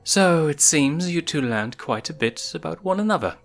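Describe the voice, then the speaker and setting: A man voicing a calm, motherly adult lioness queen who explains things patiently in a story. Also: fairly high pitch, normal speed, vocal fry, voice pushed up. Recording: music behind the voice.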